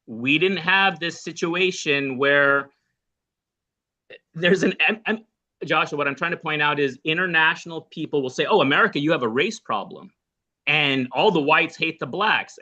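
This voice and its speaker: Male host, higher pitch, bright forceful tone, argumentative. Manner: pushes back, argumentative. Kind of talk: political discussion.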